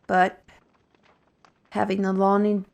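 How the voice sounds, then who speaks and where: Woman acting a slow-spoken, weary, irritable fantasy artist. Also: medium pitch, monotone, creaky, a little mellow. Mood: apologetic, yearning. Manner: grumbling, sighing, halting dramatic delivery. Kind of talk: fantasy audio drama acting.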